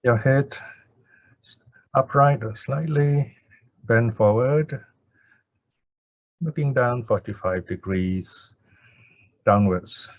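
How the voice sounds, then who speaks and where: A man speaking as a meditation teacher in measured short phrases with many pauses, guiding or chanting. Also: medium pitch, no room sound. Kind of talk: slow guided meditation instruction and Pali chanting. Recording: dull lo-fi audio.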